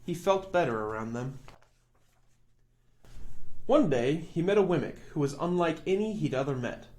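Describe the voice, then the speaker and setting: Male storyteller for children's picture book, higher-pitched voice, expressive read-aloud style. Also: moderate pace.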